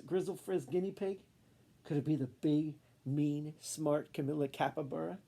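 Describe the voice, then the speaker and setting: Husky, creaky man reading a storybook. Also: fairly high pitch, dark tone. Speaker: children's story reader. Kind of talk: expressive storybook read-aloud.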